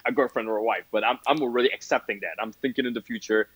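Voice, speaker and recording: A man's voice: medium pitch, fairly even intonation, very fast, fairly bright tone, breathy edge, no echo, low-quality recording. A man from Egypt appearing as a podcast guest, chatting casually and eagerly asking questions. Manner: curious, eager, full of questions. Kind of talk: casual conversation.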